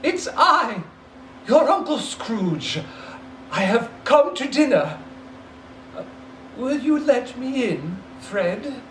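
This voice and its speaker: A man voicing an excitable old miser, theatrical and exclamatory, with drawn-out words in a storybook reading. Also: very high-pitched, pitched above the performer's own voice, heavily acted. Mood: hopeful. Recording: roomy echo, distant mic, faint noise.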